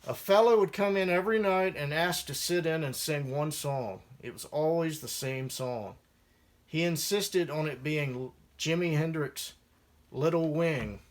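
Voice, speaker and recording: A man's voice: a little high, measured pace. A man reading his own first-person memoir aloud as a musician, in a wry storytelling manner.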